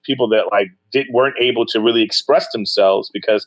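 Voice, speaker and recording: A man's voice: on the low side, a little fast. Guy riffing on a podcast, jokey, keeps restarting, kinda muffled. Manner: animated, jokey, trailing off into restarts. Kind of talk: casual podcast chat. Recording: dull lo-fi sound.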